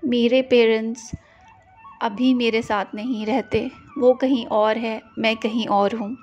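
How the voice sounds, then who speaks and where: Slow, gentle woman guiding meditation. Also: fairly high pitch, fairly even intonation, highs cut off, soft background music. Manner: slow, gentle, repetitive phrasing. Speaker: meditation guide. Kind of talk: guided prayer meditation.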